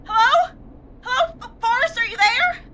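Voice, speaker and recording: A woman's voice: very high voice, animated, normal speed, sharp tone, slight background noise, heavily acted. An actress playing a frightened, desperate young woman caller in a video game, pleading, hesitant and apologetic. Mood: panicked, tearful. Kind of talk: dramatic video game voice acting.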